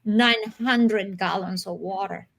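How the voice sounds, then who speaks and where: A woman from Colombia, slowly and reflectively telling her own story as an interview guest. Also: mid-range voice, vocal fry, rough recording. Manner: slow, reflective, with soft laughs and pauses.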